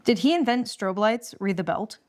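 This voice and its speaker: A woman with a medium-pitched voice co-hosts a casual book discussion. She retells and comments on a story in informal, plain-spoken language. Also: animated, moderate pace, a little mellow. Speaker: podcast co-host.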